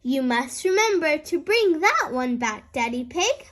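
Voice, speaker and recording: A patient, kindly mother pig from a children's storybook, played by a woman. She explains gently in a high, slow, melodic voice. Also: big pitch swings, heavily acted. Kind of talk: children's storybook read-aloud.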